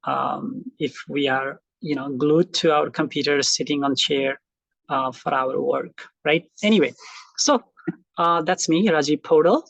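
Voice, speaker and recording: A man's voice: a little high, measured pace, gravelly, a bit bright, dry recording, low-quality recording. A middle-aged man from Nepal with a Nepali accent, speaking casually and reflectively to his online class, with many fillers and restarts. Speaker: college instructor. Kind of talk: casual lecture to online students.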